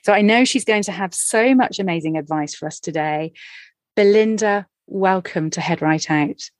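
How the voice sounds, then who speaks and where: A woman's voice: medium pitch, normal speed, no echo. Enthusiastic woman podcast host. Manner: enthusiastic, effusive. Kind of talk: interview hosting.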